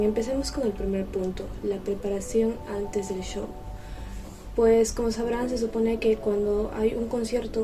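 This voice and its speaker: A woman vlogger chatting casually to camera, explaining things in a conversational way. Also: mid-range voice. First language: Spanish. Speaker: vlogger, host. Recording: distant mic with music behind.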